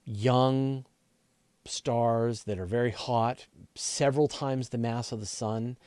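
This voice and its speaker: A man's voice: medium pitch, mellow, no room sound. A man hosting a live question and answer show, explaining things in a casual, conversational way and thinking aloud as he goes. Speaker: host of a space and astronomy question show.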